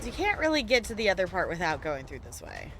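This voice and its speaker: A woman's voice: on the low side, wildly swooping pitch, fast talker, over music. A woman chatting casually on a gaming livestream, playful and self-deprecating, with theatrical exclamations. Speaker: live streamer.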